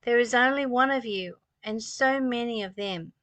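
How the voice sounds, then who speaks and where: A woman's voice: on the high side, normal speed, a bit bright, no room sound. A woman in her sixties giving an earnest, preaching spiritual talk, reading passages and explaining them with rhetorical questions and repetition. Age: 60s. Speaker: spiritual teacher reading and commenting on a study text.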